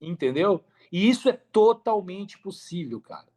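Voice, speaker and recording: A man's voice: high voice, expressive, unhurried, dark tone. A man with a Brazilian accent chatting casually as a podcast guest, giving advice in slangy, conversational language with frequent check-ins. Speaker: podcast guest, affiliate marketer.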